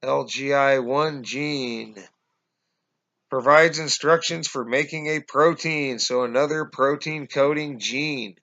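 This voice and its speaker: Man with a fading, gravelly voice. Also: medium pitch, a bit bright. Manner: halting, many fillers and restarts, addresses viewers as guys. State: losing his voice, coughing. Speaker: conspiracy vlogger. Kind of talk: casual explanatory monologue. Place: small indoor room at home. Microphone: webcam. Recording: dull lo-fi webcam audio.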